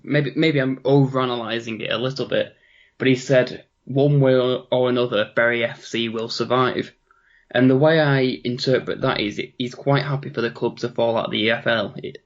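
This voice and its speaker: A slightly hoarse man co-hosting a football podcast, chatty and reflective and often restarting his sentences. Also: medium pitch, steady tone, moderate pace, lo-fi sound. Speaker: podcast co-host. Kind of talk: casual football podcast chat.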